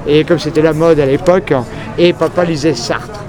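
A man's voice: a little high, steady tone, moderate pace. A man from France talking frankly in a street interview, rambling through a long story with many fillers. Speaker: journalist. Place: outdoors on a busy city street. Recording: crowd noise outdoors. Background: crowd and traffic.

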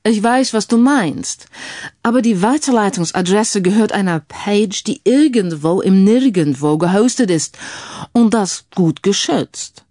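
A man's voice: sits high, expressive, measured pace, darkish tone, no echo, studio quality, pitched above the performer's own voice. Woman voicing a smug, analytical male tech sleuth. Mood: matter-of-fact. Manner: peppers speech with English phrases.

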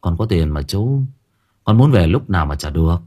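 A man's voice: sits low, big pitch swings, moderate pace, warm tone, breathy edge, voice pushed down, heavily acted. A man voicing a hot-headed, stubborn young labourer in an audio story, curt and challenging.

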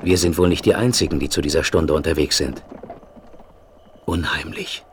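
An actor playing a wary traveller in the Wild West, speaking in a measured, earnest way in a German radio play. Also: male voice, rumbling low. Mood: uneasy, wondering. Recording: hissy 1970s vinyl record.

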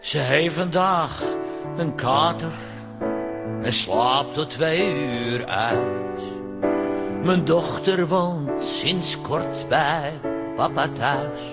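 Slow, wistful father over piano. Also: fairly high pitch. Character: wistful, protective father of a grown-up daughter. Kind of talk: narrative song with piano accompaniment. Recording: loud piano accompaniment under voice. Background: piano music.

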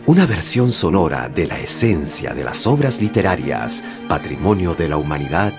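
A man's voice: very deep voice, big pitch swings, moderate pace, music in the background. A man reading a scripted program introduction as an announcer.

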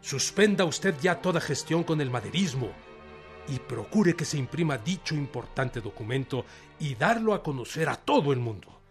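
High-pitched, defiant Mexican revolutionary leader. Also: male voice, very animated, moderate pace. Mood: resolute. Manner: declamatory, emphatic. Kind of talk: dramatized radio oratory. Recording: music behind the voice. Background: music.

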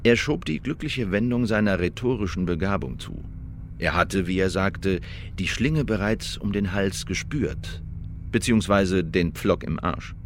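A male actor in a radio play as an agent giving a deliberate, precise, emphatic witness report in the first person. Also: deep voice, slight background noise. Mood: vivid, recounting.

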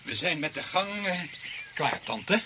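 An actor playing a scheming, money-hungry young nephew in a Dutch radio play, by turns wheedling and snappish. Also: male voice, high-pitched, lively intonation, measured pace, noisy background. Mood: falsely polite.

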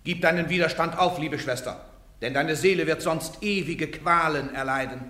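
A pleading cleric, echoey. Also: male voice, fairly high pitch, normal speed. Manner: pleading, soft then insistent. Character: pleading cleric urging a condemned woman to submit. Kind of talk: radio play acting.

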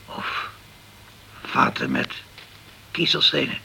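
An actor playing a guilt-ridden, hard-drinking, middle-aged ship's captain in a radio crime drama, halting and defensive, stumbling over his words. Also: mid-range voice, wildly swooping pitch, gravelly, pushed and bright, breathy edge, heavily acted. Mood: wary, probing.

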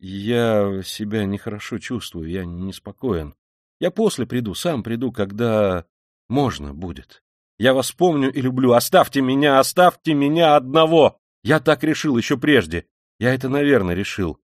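A man voicing a brooding, tormented young man in an audiobook novel, speaking in a halting, abrupt manner. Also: lowish voice, animated, darkish tone, no room sound, clean studio sound. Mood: faltering.

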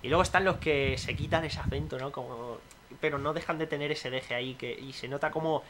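A man's voice: fairly high pitch, brisk, a crowd in the background. A young man co-hosting a casual podcast, reading out comments and rambling loosely, swearing often and trailing off as he hunts for words. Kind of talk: casual chat. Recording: loud music underneath.

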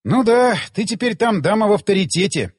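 A man's voice: sits high, expressive, brisk, slightly rough, dry recording, studio quality, voice pushed up. A man voicing a wry, devoted male adventurer in an audiobook, with a casual, joking manner. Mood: teasing.

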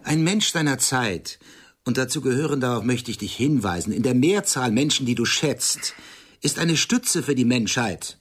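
An actor playing a sharp-tongued, condescending intellectual man in a German radio play, articulate and insistent. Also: medium pitch, animated, normal speed, breathy edge. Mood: lecturing, scornful. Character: Serge, male friend, sharp-tongued, lecturing, condescending intellectual. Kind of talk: radio play acting, dialogue.

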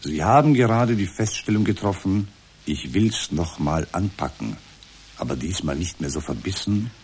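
An actor playing an unflappable, formally polite therapist in a satirical radio drama, speaking in measured, stilted counselling phrases. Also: male voice, low-pitched, lively intonation, a little mellow, a little hiss or hum. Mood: calmly prompting. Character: unflappable automated male therapist, formally polite, echoing the patient.

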